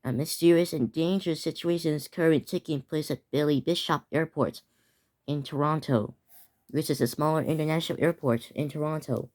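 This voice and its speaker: A man's voice: fairly high pitch, fairly even intonation, brisk, a little mellow. Teen boy stumbling through a mock alert. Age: teens. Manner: stumbling, restarting words while reading out an alert. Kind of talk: improvised mock emergency broadcast.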